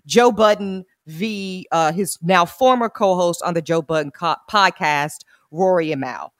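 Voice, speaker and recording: A woman attorney hosting a podcast, chatting frankly and with slang, laughing often, with a big booming voice. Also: measured pace, no echo, clean studio sound. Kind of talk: casual podcast chat.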